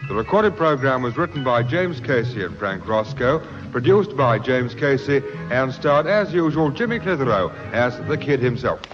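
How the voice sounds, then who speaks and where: A man's voice: medium pitch, expressive, moderate pace, few pauses. A man reading scripted credits as a 1960s radio announcer. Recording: muffled old radio recording. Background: music.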